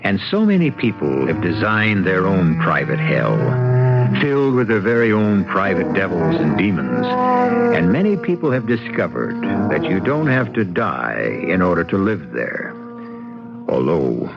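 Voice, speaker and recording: A man hosting and narrating an old-time radio mystery in a measured, ominous, dramatic manner. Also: sits low, wildly swooping pitch, vocal fry. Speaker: host and narrator. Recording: muffled vintage radio broadcast, music behind.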